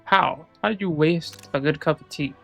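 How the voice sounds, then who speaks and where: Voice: mid-range voice, moderate pace, creaky, husky, faint music behind. A game streamer giving casual let's-play commentary in an offhand way, muttering as if to themselves. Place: indoor gaming setup, game music behind.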